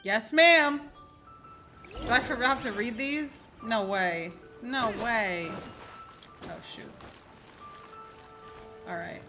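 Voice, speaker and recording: A woman's voice: fairly high pitch, drawn-out words, long pauses. A woman streaming a video game, giving casual, outspoken commentary in informal slang and sometimes reading text aloud. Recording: game music behind the voice.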